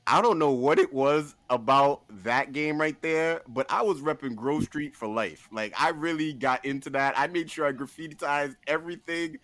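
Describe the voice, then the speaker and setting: A man talking casually and comedically as a podcast guest, pitching a list with an emphatic, playful showman delivery. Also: normal speed, hardly any pauses, a bit bright, no echo.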